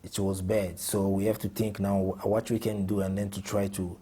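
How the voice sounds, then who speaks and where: A man's voice: lowish voice, level tone, moderate pace, mellow, slightly rough. A man from Congo, a music artist guest talking earnestly in a casual interview and often repeating his words.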